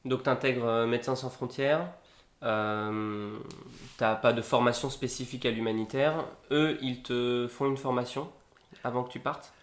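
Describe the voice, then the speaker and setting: A man's voice: mid-range voice, steady tone, unhurried. A man hosting an interview, asking long, hesitant questions. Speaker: podcast interviewer. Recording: roomy echo.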